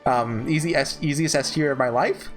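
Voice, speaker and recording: A man's voice: fairly high pitch, normal speed, faint music behind. A man talking casually off the cuff as a YouTuber giving reviews, chatty, rambling and opinionated. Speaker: YouTuber host ranking visual novels.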